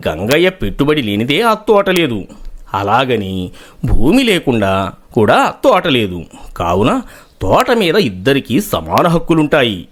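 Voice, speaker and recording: A man playing a firm, authoritative village man in a moral story. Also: mid-range voice. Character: village men: stubborn landowner or authoritative elder. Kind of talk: acted moral-story dialogue.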